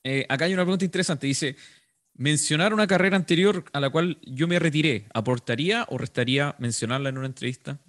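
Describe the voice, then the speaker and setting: A man, calmly reading audience questions as moderator on a video call. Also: fairly high pitch, fast talker. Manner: calm, brief, hesitant restarts. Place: small room indoors. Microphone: video call, headset.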